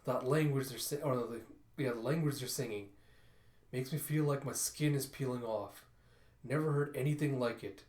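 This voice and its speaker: A man's voice: mid-range voice, normal speed, darkish tone, roomy sound, lightly acted. A man voicing a giant battle-hardened ogress with a blunt, commanding manner in a story read-through. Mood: uneasy.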